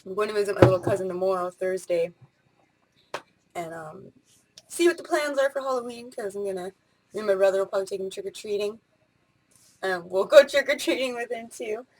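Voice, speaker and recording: A woman in her late twenties rambles casually to her camera, full of ums and restarts. Her pitch sits in an ordinary middle range, and she talks on a camera mic, probably at home. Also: brisk, pauses often, a little hiss or hum, rough recording. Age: 20s. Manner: rambling, spur-of-the-moment talk with many fillers. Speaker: vlogger.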